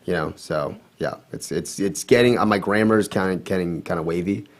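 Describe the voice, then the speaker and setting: A man in his twenties, Vietnamese his first language, chatting as an interview guest, relaxed and quick to laugh. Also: low-pitched. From Philippines-born, raised in Florida. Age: 20s. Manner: relaxed, laughs easily, filler-heavy. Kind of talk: casual interview.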